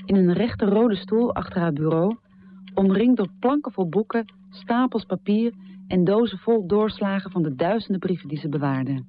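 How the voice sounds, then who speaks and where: An actress playing a poet's grown daughter, narrating memories of a difficult mother in a measured, reflective radio drama style. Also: medium pitch, lively intonation, narrow, tinny audio, slight background noise, natural voice. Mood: sober, reflective. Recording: thin, band-limited dry radio recording.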